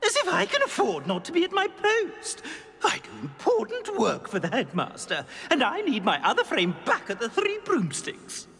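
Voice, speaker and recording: Pompous, wildly theatrical portrait wizard. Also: male voice, very high-pitched, wildly swooping pitch, normal speed, sharp tone, big-room sound, full character voice. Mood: indignant. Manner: haughty, theatrical, blustering. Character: pompous indignant talking portrait of a wigged wizard informant. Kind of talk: scripted game acting.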